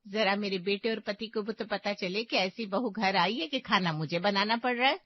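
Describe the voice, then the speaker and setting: A woman's voice: medium pitch, moderate pace, dry recording, close to an everyday voice. Sarcastic, melodramatic mother-in-law for a cartoon, acted by a woman, middle-aged, dry muffled sound. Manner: taunting, melodramatic. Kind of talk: cartoon moral story acting.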